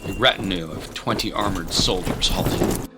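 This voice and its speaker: A man's voice: low voice, normal speed. A man giving grave, literary, scripted narration for a dramatic story. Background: music bed.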